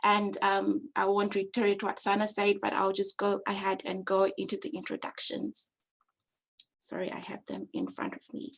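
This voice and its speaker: A woman's voice: mid-range voice, monotone, moderate pace, rough recording. A woman hosting an online panel, introducing speakers over a video call. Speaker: webinar moderator.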